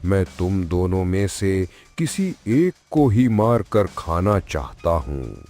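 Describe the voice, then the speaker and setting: Very slow, deep menacing lion. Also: male voice, very animated, mellow, soft background music, heavily acted. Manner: drawn-out, threatening delivery. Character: menacing lion, slow-talking predator bargaining for prey. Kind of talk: cartoon story voice acting.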